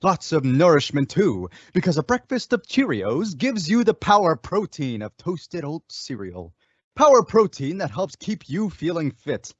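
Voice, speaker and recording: A man's voice: a little high, sing-song, a little slow, breathy edge, no room sound, exaggerated voice. A young man playing an upbeat, persuasive announcer in a 1950s-style radio advertisement. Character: upbeat vintage radio cereal pitchman. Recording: dull, dry lo-fi recording.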